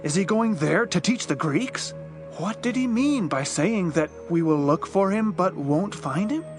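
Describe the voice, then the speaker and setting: A male actor voices a baffled, scornful leader in a Bible drama. His pitch is high for a man, and music plays clearly behind him. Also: animated, unhurried. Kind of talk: audio Bible drama acting.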